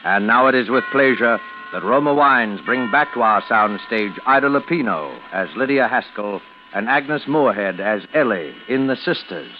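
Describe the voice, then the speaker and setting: A man's voice: mid-range voice, lively intonation, moderate pace. A man announcing a 1940s suspense radio show in a formal, dramatic, scripted style. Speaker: program announcer. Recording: muffled old radio broadcast, music behind.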